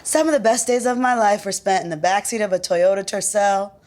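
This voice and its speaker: A woman's voice: mid-range voice, a little fast, very noisy, close to an everyday voice. A woman playing a messy, sardonic young roommate in a podcast sitcom, with a casual delivery. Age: young adult. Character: messy, wry, nostalgic young female roommate.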